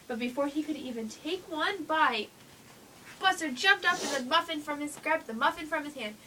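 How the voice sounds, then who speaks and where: Young woman doing storytime like a strict-ish teacher, high bright voice, mic kinda far away. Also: lively intonation, moderate pace. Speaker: reader playing a schoolteacher. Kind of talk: informal read-aloud of a children's story. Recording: distant mic with faint noise.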